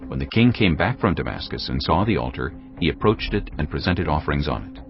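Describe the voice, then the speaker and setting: A male narrator reading scripture in a measured, grave storytelling manner. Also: a bass voice, sing-song. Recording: dull lo-fi sound over cinematic music. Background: cinematic music.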